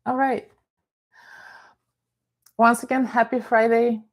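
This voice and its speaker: A middle-aged woman from Bulgaria, a therapist and life coach giving a calm, explanatory live-streamed talk and greeting viewers by name. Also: a little high, slow.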